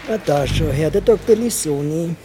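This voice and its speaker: A man's voice: a little high, animated, normal speed, background noise, close to an everyday voice. A man playing a dry, wry hospital psychiatrist in a radio drama, speaking in an understated way. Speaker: narrating protagonist, psychiatrist. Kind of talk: radio drama, first-person narration and dialogue.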